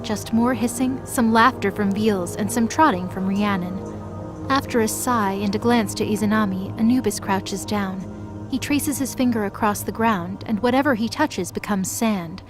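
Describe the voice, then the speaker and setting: A woman's voice: mid-range voice, unhurried, over music. A woman giving scripted, dramatic narration as the storyteller of an audio drama.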